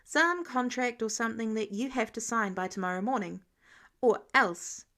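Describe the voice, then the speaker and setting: A woman plays a teenage boy working as an intern in a narrated story. The voice sits fairly high. He is cheeky yet earnest, with a touch of youthful awkwardness. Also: moderate pace, pushed and bright. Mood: matter-of-fact.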